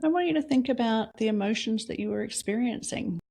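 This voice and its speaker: A woman leading a guided reflection exercise, asking slow, soft questions with long pauses between them. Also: a little high, vocal fry. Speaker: meditation guide.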